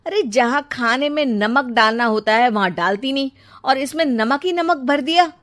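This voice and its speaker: A woman's voice: sits high, moderate pace, a bit bright, voice pushed up. A blunt, irritable young husband voiced by a woman storyteller. He scolds and complains with force, in a raised storybook character voice. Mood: exasperated.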